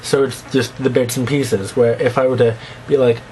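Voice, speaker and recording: A man's voice: level tone, moderate pace, a little mellow, a little hiss or hum, rough recording. A young man with a slight lisp, talking to camera in a rambling, self-mocking vlog. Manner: rambling, self-mocking, breaks into squeaky put-on voices. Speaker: vlogger. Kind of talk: rambling casual monologue to camera.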